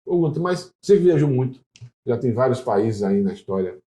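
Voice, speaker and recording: A man's voice: medium pitch, sing-song, normal speed, mellow. A man hosting a casual interview, asking open questions and answering with short affirmations. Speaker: interviewer, host. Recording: roomy echo.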